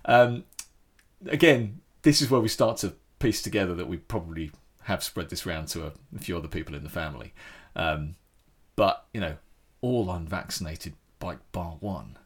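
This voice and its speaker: A man tells a story in relaxed conversation. His pitch swings widely as he talks, and he often stops and restarts. The delivery is lively and expressive. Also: moderate pace, slightly rough. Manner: animated storytelling with frequent restarts. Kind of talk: casual chat.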